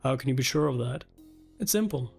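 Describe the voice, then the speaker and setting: A man's voice: medium pitch, animated, brisk, gravelly, a little mellow, breathy edge. A man livestreaming a read-along, reacting casually, chatting with viewers and swearing offhandedly. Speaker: livestreamer reading a webtoon aloud and reacting. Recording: music playing behind the voice. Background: background music.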